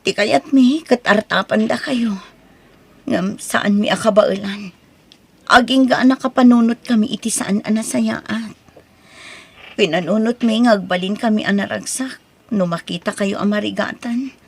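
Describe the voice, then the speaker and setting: A woman's voice: medium pitch, measured pace, creaky, slightly rough. A woman with an Ilocano accent acting the part of an earnest, apologetic woman in an audio drama. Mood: remorseful.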